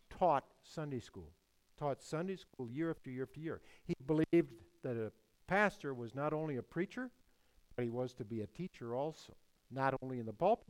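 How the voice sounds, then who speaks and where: An older man preaching a sermon, stressing key words and repeating phrases, sometimes rising and then dropping to a hush. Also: medium pitch, rough recording. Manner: emphatic, repeats key phrases, swings between loud and hushed. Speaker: pastor.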